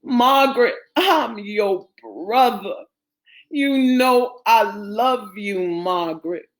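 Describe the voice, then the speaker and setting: A woman's voice: medium pitch, lively intonation, slow pace, poor audio. A woman voicing a wounded man begging his sister for his life in an audiobook. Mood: pleading.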